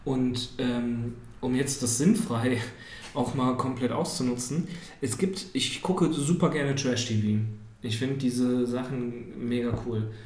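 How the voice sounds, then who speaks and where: A man's voice: mid-range voice, slow pace, darkish tone. A man in his thirties chatting casually, telling rambling stories full of fillers. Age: 30s. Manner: rambling, filler-heavy storytelling. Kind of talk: casual chat. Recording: echoey room with faint ambience.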